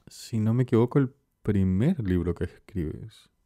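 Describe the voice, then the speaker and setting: A man's voice: lowish voice, lively intonation, unhurried, warm tone. A man in his thirties from Ecuador hosting a podcast interview, friendly, with short prompts and easy laughter, recorded in a small studio. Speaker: podcast host. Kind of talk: casual interview. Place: dim small studio room, indoors. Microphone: studio microphone close up.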